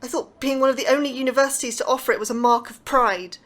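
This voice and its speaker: An actress playing an earnest, anxious astrobiology lecturer with a proud streak, in an audio drama. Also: female voice, high voice, steady tone, fast talker, fairly bright tone. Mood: indignant, hurt. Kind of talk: scripted audio drama dialogue.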